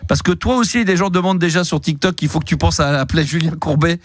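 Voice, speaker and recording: A man's voice: a little high, fast, dry recording. A man hosting a live phone-in, chatting in a teasing, jokey way on a close studio microphone. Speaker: radio phone-in host. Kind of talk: live late-night phone-in chat. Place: radio studio.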